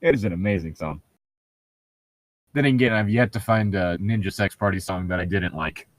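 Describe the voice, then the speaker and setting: A man's voice: low-pitched, moderate pace, no echo. A man co-hosting a comedic read-along podcast, chatting casually with crude, profane humor. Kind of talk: casual banter between book readings.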